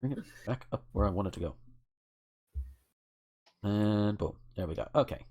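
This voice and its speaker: A man's voice: lowish voice, moderate pace, a little mellow. A man hosting a casual podcast, reading out lists and adding informal, rambling asides. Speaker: podcast host.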